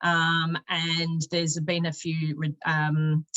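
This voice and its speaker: A woman giving a conversational software walkthrough as a company presenter, explaining each step informally. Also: low-pitched, monotone, slow pace, no room sound, dull audio. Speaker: sales and marketing director giving a product demonstration.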